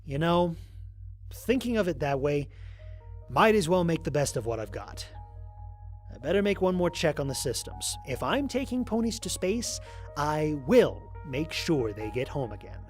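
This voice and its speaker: A man playing a weary, wry human astronaut narrating his mission log in an audio drama, with a dry, expressive storytelling delivery. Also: fairly high pitch, moderate pace, soft background music. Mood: resigned, determined.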